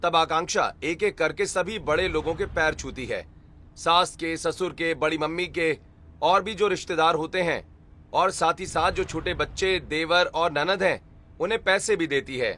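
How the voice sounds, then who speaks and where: A man's voice: a little high, moderate pace, sharp tone, a little hiss or hum. A man narrating a scripted Hindi family story.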